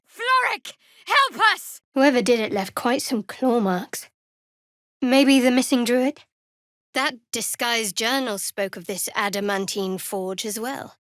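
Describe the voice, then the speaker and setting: Guarded, sardonic young cleric, higher-pitched. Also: female voice, a bit bright, no echo. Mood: urgent. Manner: dry, sardonic, measured delivery. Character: guarded, sardonic young female cleric adventurer of dark goddess. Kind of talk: fantasy video game voice acting.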